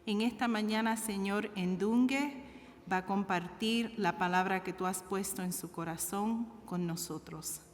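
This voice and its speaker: A woman pastor introducing a guest and leading a prayer, speaking slowly and repeating her address to God between phrases. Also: mid-range voice. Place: church sanctuary. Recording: long church hall reverb with faint room noise.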